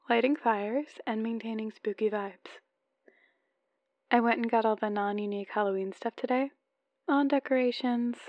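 A woman's voice: fairly high pitch, dry recording, highs cut off, natural voice. A woman playing an adorkable, lovesick, playful newlywed wife leaving chatty voicemails in an ASMR roleplay. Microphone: close microphone, dry.

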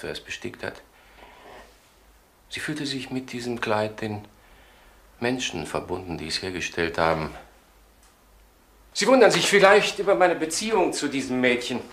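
An actor playing an earnest, infatuated lawyer who gives a halting, intense confession in a radio crime drama. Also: male voice, on the low side, creaky, a bit bright, exaggerated voice. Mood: wistful. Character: earnest, infatuated lawyer recounting a romance to police. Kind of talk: German radio crime drama acting, reflective narration. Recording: noisy archive radio drama recording.

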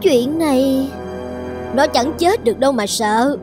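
A woman as a spiteful, high-pitched schemer. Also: a little slow, music in the background. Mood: scheming. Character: spiteful, scheming female rival courtesans. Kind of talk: cartoon fairy-tale dubbing.

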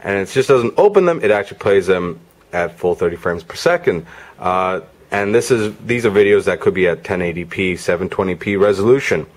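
A man's voice: low voice, fairly quick. A man giving a casual hands-on gadget demo in an informal, off-the-cuff way. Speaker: product reviewer. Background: music from demo videos on a player.

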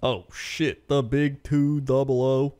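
A man's voice: mid-range voice, little pitch movement, slow pace, darkish tone, clean tone. A man co-hosting a casual podcast, laid-back and easygoing, chatting in an amused way while getting over a cough. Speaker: podcast co-host.

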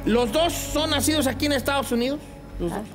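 A man's voice: very high-pitched, brisk. A middle-aged man judging a talent show, giving emphatic, respectful comments straight to the contestants. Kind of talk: spontaneous judge's comments. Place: judges' desk in large TV studio. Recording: live TV studio, loud music and crowd.

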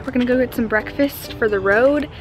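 A woman's voice: a little high, a little fast, music in the background. A teenage girl chatting to a handheld camera in a casual, upbeat vlog. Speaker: vlogger. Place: car, outdoors and condo bedroom. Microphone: handheld camera at arm's length.

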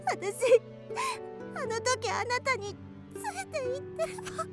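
A woman playing a tiny, gentle young girl, a wistful fairy-tale heroine, in a radio drama, speaking earnestly and childlike. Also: extremely high pitch, lively intonation, slow, over loud music, full character voice. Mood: regretful.

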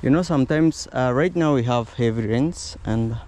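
A young Kenyan man filming a casual vlog outdoors in a rural yard, speaking to his viewers in a relaxed, low-key way. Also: moderate pace. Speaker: vlogger. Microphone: small clip-on mic. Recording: outdoor noise behind the voice.

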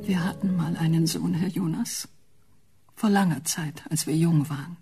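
An actress playing a sentimental, anxious, elderly wife of little means in a radio drama. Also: female voice, deep voice, normal speed, vocal fry, over music. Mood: wistful. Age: older.

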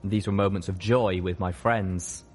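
Low-voiced man reading a story. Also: fairly even intonation, a little slow, a little mellow, faint music behind. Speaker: audiobook reader. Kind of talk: scripted first-person story narration.

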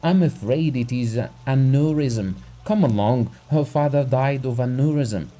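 A man reading aloud and voicing a frantic middle-aged gentleman who pleads breathlessly for help. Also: medium pitch, a little slow, pitched above the performer's own voice, natural voice.

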